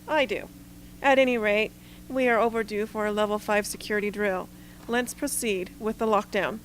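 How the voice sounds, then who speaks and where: A woman's voice: mid-range voice, fairly even intonation, moderate pace, noisy background, close to an everyday voice. A woman playing a cool, calculating leader who speaks formally and bureaucratically in an amateur radio drama. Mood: composed.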